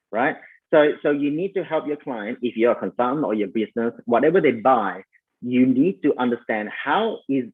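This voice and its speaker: A man's voice: medium pitch, breathy edge. Malaysian-accented man on a tinny line. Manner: conversational, many fillers, addresses listeners as "you guys". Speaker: sales coach. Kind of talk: online summit talk.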